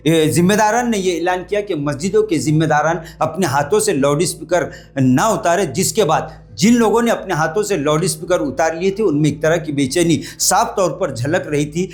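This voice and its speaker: Male news anchor, high-pitched voice, from India, formal delivery, news report style. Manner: formal, addresses viewers often.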